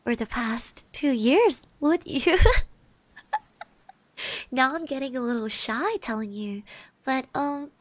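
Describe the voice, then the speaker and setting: A woman plays a kind, bashful girl of eighteen in an ASMR classmate roleplay. Her voice is soft and fairly high, and she speaks very slowly with hesitant, reassuring stammers. The sound is close and dry. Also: dry recording. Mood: shy, reassuring. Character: shy, kind eighteen-year-old girl classmate giving encouragement. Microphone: close microphone.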